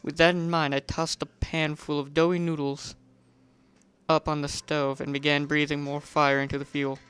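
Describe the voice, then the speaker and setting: An animated storyteller reads first-person fiction in a smooth, clear, mid-pitched voice. The narrator now and then trips and rereads a phrase. Music plays faintly behind. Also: moderate pace. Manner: animated, stumbles and rereads lines. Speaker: narrator reading a fan fiction.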